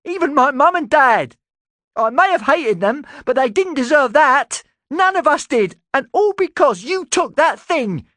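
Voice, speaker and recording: A man voicing a hot-headed young male ganger who shouts in fury. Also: high voice, sing-song, moderate pace, no echo, studio quality, pitched above the performer's own voice, heavily acted. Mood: raging. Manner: shouting, raging.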